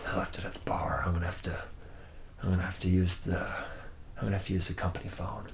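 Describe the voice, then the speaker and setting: A man's voice: low voice, little pitch movement, fast talker, creaky. A man playing a laid-back, boozy womanizing factory worker in an ASMR roleplay, talking in a casual, slangy ramble. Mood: mildly grumbling.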